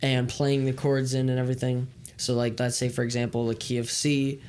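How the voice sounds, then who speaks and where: A man's voice: medium pitch, flat delivery, brisk, darkish tone, slight background noise. A man who leads worship, chatting casually on a podcast and explaining things loosely with restarts. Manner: rambling, restarts mid-thought, explains loosely. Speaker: worship leader and podcast co-host.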